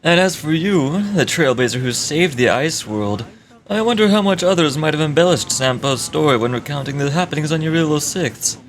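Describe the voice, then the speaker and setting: Game villain-type trickster, male played by a woman: smug, condescending, lowered voice with big melodic swings, faint noise behind. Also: animated. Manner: theatrical, drawn-out mock politeness. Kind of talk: game dialogue read aloud in character.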